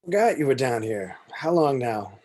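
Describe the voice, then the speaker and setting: Man playing husky, blunt apostle. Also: medium pitch, big pitch swings, normal speed, a little mellow. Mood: wry. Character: blunt, wry dead apostle, adult man. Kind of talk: amateur table read of a film script.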